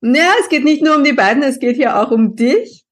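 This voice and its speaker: A woman's voice: high voice, expressive, a little fast. A woman hosting a podcast who interviews her guest with engaged, encouraging questions. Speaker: podcast host, linguist.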